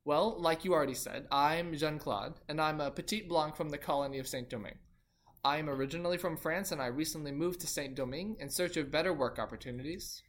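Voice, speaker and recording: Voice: mid-range voice, fairly quick, close to an everyday voice. A performer playing an earnest young male French colonist who hosts a history roleplay podcast, a bit awkward and fond of puns. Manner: earnest, slightly awkward, laughs at own jokes. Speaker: podcast host. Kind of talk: amateur scripted roleplay.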